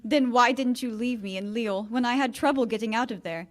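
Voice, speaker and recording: A woman's voice: a little high, brisk, lightly acted. An actress playing a proud, wounded married woman, restrained and earnest, in 1940s-style radio theater. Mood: earnest, firm.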